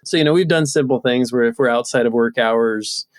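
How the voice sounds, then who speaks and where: A man's voice: medium pitch, a little fast, no room sound. A man in his thirties talking casually and reflectively as a guest in an interview. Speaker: podcast guest, entrepreneur.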